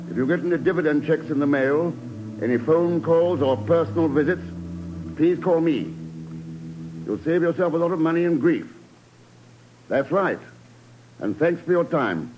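An actor playing a calm, shrewd investigator in a 1950s radio drama, setting out plans with assurance. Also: male voice, fairly high pitch, unhurried, pauses often. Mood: brisk, assured. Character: calm, shrewd male investigator laying a trap. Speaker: lead actor. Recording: dull vintage radio broadcast recording.

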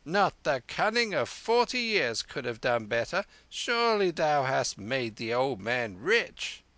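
A man's voice: mid-range voice, very animated, measured pace, pushed and bright, no room sound, voice pushed up, heavily acted. A man reading aloud, voicing a cunning village priest with a purring, knowing manner. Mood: sly.

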